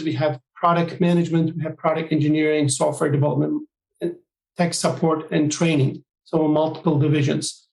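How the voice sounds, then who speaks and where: A man from Turkey, a guest on an interview podcast, explaining his work in measured, listing phrases. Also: a little high, gravelly, reverberant, poor audio. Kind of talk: interview answers.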